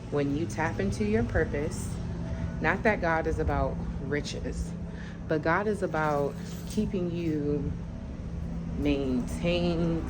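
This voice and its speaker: A young woman with a low voice speaks bluntly and with feeling, straight to her viewers in a candid vlog. Room noise sits clearly behind her voice. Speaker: vlogger. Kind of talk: candid talking-to-camera chat.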